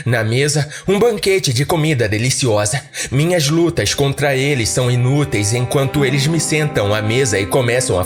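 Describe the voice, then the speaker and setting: Male Brazilian narrator for a horror podcast, mid-pitched, measured dramatic storytelling with music underneath. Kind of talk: scripted first-person horror story narration.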